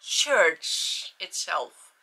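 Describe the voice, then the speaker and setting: Bright chatty Icelandic-accented woman. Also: on the low side, big pitch swings, drawn-out words, husky, poor audio. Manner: chatty, self-deprecating, rambling with restarts. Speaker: book channel host. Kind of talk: casual book review vlog.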